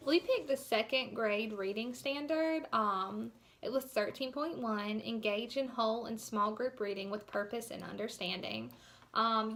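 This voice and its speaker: A young woman giving an informal instructional presentation as a student teacher, explaining things a little hesitantly. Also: a little high.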